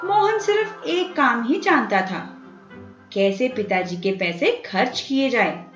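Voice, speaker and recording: A woman narrating a scripted children's bedtime story in an expressive, measured way. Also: a little high, dull audio. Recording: roomy, dulled audio with background music. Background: music.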